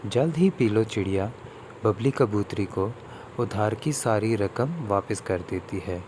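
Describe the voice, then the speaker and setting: A man with a fairly low voice narrates a children's story in a scripted storytelling style. Clear background noise, like outdoor ambience, sits behind his voice. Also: lively intonation, unhurried.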